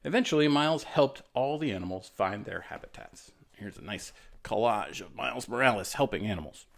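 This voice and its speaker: A man's voice: lowish voice, animated, brisk, creaky. A man doing a friendly, encouraging storytime reading for children, with casual asides.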